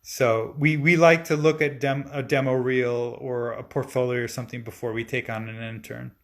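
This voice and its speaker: A man in his forties, a stop-motion animator, answering viewer questions in a relaxed, chatty way with frequent fillers and restarts. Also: medium pitch, moderate pace. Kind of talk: casual live-stream Q&A, reading viewer comments aloud.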